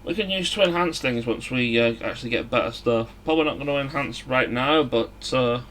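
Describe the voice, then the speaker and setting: A man giving casual, somewhat halting video game commentary as a let's play host. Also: medium pitch, fairly bright tone, a little hiss or hum. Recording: music behind the voice. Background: game music.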